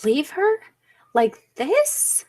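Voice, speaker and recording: A fairly high voice with a very slow, deliberate delivery. A woman plays a skeptical scientist, earnest and emphatic, in the manner of 1940s radio drama. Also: huge pitch swings, darkish tone, heavily acted. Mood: uneasy. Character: Dudley, skeptical, intense scientist and professor. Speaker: amateur drama club actor. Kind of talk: 1940s radio play acting.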